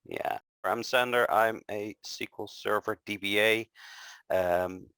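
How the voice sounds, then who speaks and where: A man co-presenting a technical session, friendly, often pausing with ums and uhs. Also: on the low side, fairly even intonation, pushed and bright, clean tone, dry recording. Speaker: conference co-presenter. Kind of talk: scripted tech presentation.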